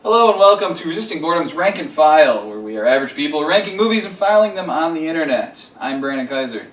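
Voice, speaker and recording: A man's voice: a little high, lively intonation, quick pace, fairly bright tone. A man hosting a casual group countdown chat in a jokey, informal way. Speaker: podcast host. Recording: echoey room, distant microphone.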